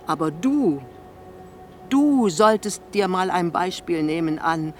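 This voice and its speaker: A woman's voice: on the low side, animated, slow pace, a little mellow, a little hiss or hum. An actress playing a sick, eccentric older mother in a German radio play, theatrical, reproachful and sarcastic. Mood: sardonic. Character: sick, eccentric old mother, bitter, manipulative, scolding her son.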